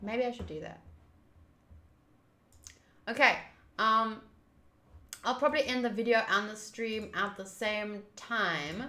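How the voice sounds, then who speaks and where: A woman's voice: medium pitch, takes it slowly, long pauses, pushed and bright, roomy sound. A young woman hosting a game livestream from a room at home, chatting casually with her viewers. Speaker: streamer, host of a game playthrough. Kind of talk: casual livestream chat and commentary.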